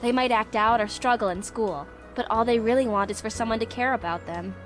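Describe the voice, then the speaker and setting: A woman playing a prickly but secretly soft-hearted young woman in a visual novel game, with a guarded, defensive manner. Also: on the high side, fairly quick, soft background music, close to an everyday voice. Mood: earnest, softened. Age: young adult.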